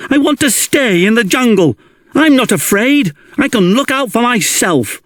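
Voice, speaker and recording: Man putting on a high-pitched, defiant young boy. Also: expressive, moderate pace, fairly bright tone, no room sound, clean studio sound. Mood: defiant protest. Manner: plucky, insistent.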